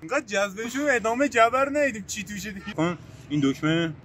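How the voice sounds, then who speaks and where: A man's voice: sits high, lively intonation, heavy background noise. A young man vlogging an unboxing with a friend, joking and playful in casual chat. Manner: playful, jokey, talks over his friend. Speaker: vlogger unboxing a walkie-talkie.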